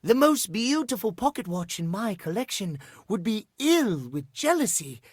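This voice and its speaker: A man's voice: high-pitched, big pitch swings, moderate pace, breathy edge, no echo, studio quality, full character voice. An actor voicing a fussy, prim male clock obsessed with schedules, speaking formally and precisely in a theatrical, old-fashioned way for a video game. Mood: cheerful, courteous. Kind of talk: video game character acting.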